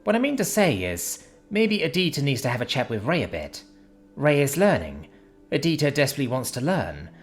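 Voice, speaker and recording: A man's voice: medium pitch, big pitch swings, brisk, soft background music, pitched above the performer's own voice. A man voicing a brash young woman who teases her friend and talks bluntly. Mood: teasing. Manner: chatty, mocking, blunt.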